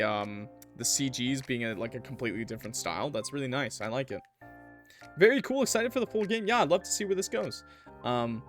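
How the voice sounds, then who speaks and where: Young man gaming, voice going a bit hoarse. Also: medium pitch, fairly bright tone. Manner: chatty, rambling, quick to laugh. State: losing his voice. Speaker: gaming YouTuber. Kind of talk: casual let's-play commentary.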